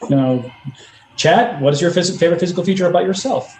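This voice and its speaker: A slightly high-voiced man leads the group chat as its host, joking and rambling with plenty of fillers. The room around him rings with echo. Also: fast talker, a little mellow, faint music behind. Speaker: podcast host asking the group questions. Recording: echoey room.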